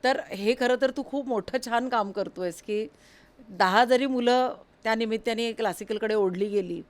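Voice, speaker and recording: A woman's voice: fairly high pitch, normal speed. A woman interviewing a guest in a conversational, encouraging and affirming way.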